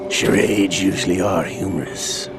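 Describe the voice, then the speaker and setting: An actor playing a cold, scheming older male villain in a video game, calm, measured and mocking as he lays out a plot. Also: deep voice. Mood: smug, coldly explaining. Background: game score music.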